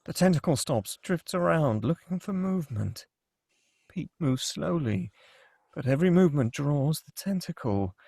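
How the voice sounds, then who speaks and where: Husky, higher-voiced man narrating a screenplay. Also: expressive, normal speed, darkish tone, dry recording, studio quality. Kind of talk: scripted narration of screenplay action.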